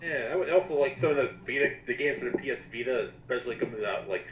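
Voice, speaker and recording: A man co-hosting a casual commentary chat with sarcastic, animated joking banter. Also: mid-range voice, very fast. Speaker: commentary co-host. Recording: echoey, dull lo-fi mic with noise.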